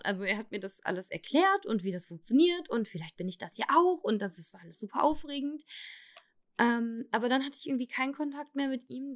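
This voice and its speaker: A young woman from Germany telling her own story in a candid, confessional way, halting often with fillers and restarts. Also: fairly high pitch. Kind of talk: personal testimony.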